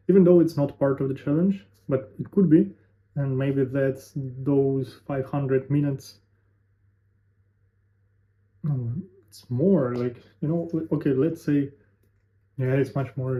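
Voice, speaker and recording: Musing young man, tinny lo-fi sound. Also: mid-range voice. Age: 20s. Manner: musing, halting, thinking aloud with fillers. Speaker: vlogger. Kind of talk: unscripted talking to camera.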